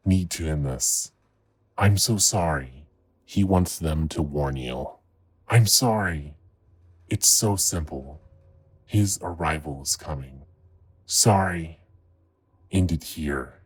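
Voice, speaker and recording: A man playing a manic, guilt-ridden figure voicing ominous warnings slowly and haltingly in an audio drama. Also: full character voice. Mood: desperate, ominous. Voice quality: deep, husky. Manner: slow, halting, ominous delivery. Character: manic, guilt-ridden man's written ramblings voiced aloud. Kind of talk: dramatized fan fiction podcast.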